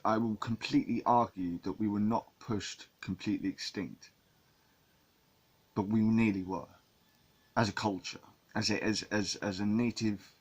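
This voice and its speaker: A man from England with a low, husky voice talks casually to camera. He rambles, restarting his sentences and repeating words as he goes. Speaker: vlogger.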